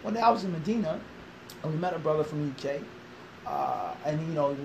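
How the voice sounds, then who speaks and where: A man's voice: fairly high pitch, brisk, gravelly, noisy background. A man in his thirties answering an interview in a small classroom, emphatic and full of rhetorical questions. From Philadelphia. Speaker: interviewee, Islamic teacher.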